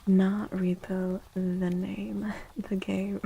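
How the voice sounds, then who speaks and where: A woman speaking softly and soothingly in a whispery, breathy voice, as an ASMR livestream host. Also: medium pitch, little pitch movement. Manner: gentle, soothing, unhurried. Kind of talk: soft-spoken ASMR, tarot reading and chatting with viewers.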